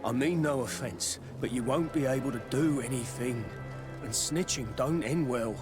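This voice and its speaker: A male weapons merchant in a video game, acted by a man, blunt and wary. He has a mid-range voice and a guarded seller's manner, with music clearly playing behind him. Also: medium pitch, moderate pace, lightly acted.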